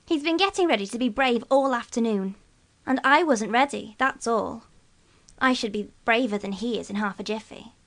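Woman as a huffy, high-voiced proud boy. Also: moderate pace, fairly bright tone. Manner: indignant, boastful. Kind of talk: children's storybook reading.

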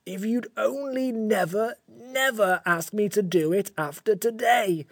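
A woman voicing a grumpy, weary, self-pitying furry magical creature in a storybook, with slow, complaining delivery. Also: low voice, expressive, gravelly, slightly rough, no room sound, clean studio sound, full character voice. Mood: tearful, pleading.

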